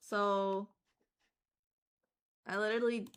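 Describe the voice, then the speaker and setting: Woman, hoarse worn-out voice, slow unhurried talk, streamer chatting while drawing, lots of ums and restarts. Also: mid-range voice, level tone. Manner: rambling with many fillers and restarts.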